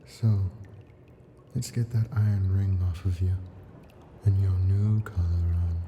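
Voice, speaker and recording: A man playing an ancient male dragon in an ASMR roleplay, a possessive, doting captor speaking in a coaxing manner with soft whispers. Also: deep voice, steady tone, a little slow, highs cut off, over music. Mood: adoring, possessive.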